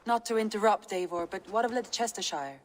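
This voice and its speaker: A woman's voice: medium pitch, fairly quick, lightly acted. An actress playing a businesslike settlement adviser woman in a video game cutscene. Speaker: game character. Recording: long hall reverb.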